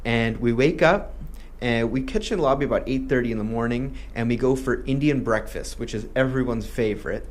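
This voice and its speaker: A man who grew up in Malaysia hosts a promotional video, answering questions about a tour. He explains things in a friendly, steady way, sometimes restarting a phrase, in a voice of middling pitch. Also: fairly quick, slight background noise. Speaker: tour company host.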